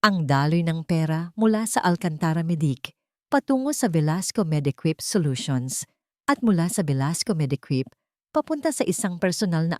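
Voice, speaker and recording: A woman's voice: deep voice, darkish tone, no room sound. Woman narrating a dramatic story, close and dry. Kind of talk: scripted dramatic narration of a Tagalog love story.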